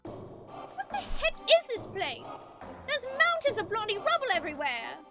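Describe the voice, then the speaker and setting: A woman's voice: very high voice, wildly swooping pitch, a little slow, over loud music, pitched above the performer's own voice, full character voice. A woman voicing a brash, hot-tempered young female thief in a video game, defiant and slangy. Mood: excited, shocked. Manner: defiant, shouting, slangy insults.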